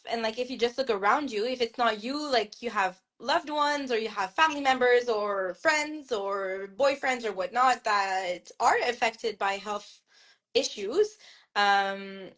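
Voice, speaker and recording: Young woman, Québécois French accent, chatty author guest, slightly high voice, video-call sound. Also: a little slow, fairly bright tone. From Montreal, Canada. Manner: chatty, many fillers and restarts. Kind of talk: casual video-call interview.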